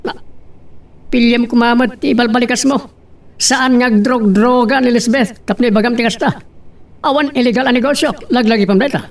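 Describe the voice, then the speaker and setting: Firm mom in a radio drama done by a woman, gravelly creak, highish voice, a bit far from mic. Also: a little fast, lightly acted. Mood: defensive. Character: mother defending her choosy daughter, firm, reproachful. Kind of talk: Ilocano radio-style drama acting.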